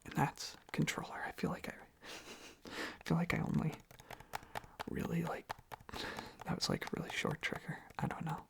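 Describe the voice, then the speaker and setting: An ASMR host rambling softly and sleepily in a whisper, often trailing off into repeated phrases. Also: medium pitch, wildly swooping pitch, normal speed, gravelly, pauses often.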